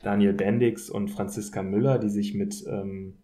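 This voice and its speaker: A man in a thoughtful academic podcast discussion, speaking in a hesitant, measured way as an expert guest. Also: lowish voice, little pitch movement, warm tone. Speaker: podcast guest, political scientist.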